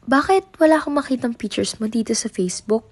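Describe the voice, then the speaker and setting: A woman's voice: a little high, lively intonation, fast, dry recording. A young woman playing bubbly, teasing Liza. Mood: playful. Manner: playful, mixes English into her talk. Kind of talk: student radio drama.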